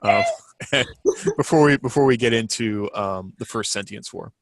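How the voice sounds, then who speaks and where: A man's voice: mid-range voice, lively intonation, normal speed, no echo. A man co-hosting a podcast, running through announcements in a casual, chatty way. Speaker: podcast co-host.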